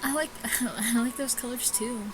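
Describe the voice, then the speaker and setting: A woman's voice: on the high side, fairly even intonation, measured pace, music in the background, rough recording. A woman reading a visual novel aloud casually, stumbling over the text and adding offhand comments. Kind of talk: casual let's-play read-aloud with commentary.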